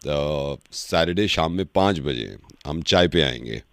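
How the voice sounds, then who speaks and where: A man voicing a genial middle-aged father on a prank call, halting and avuncular as he talks. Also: very deep voice, animated, gravelly, a bit bright, dry recording, studio quality, exaggerated voice. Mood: genial, hesitant. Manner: slow, halting, chuckling, avuncular. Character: genial, hesitant middle-aged father seeking a match for daughter.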